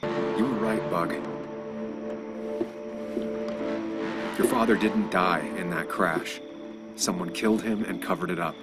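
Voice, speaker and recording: An actor playing a weary, sardonic journalist loner in a video game, with a wry, self-musing manner. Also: male voice, deep voice, moderate pace, in a large hall, loud music behind the voice, close to an everyday voice. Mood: earnest, grave. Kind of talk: video game dramatic acting and inner monologue.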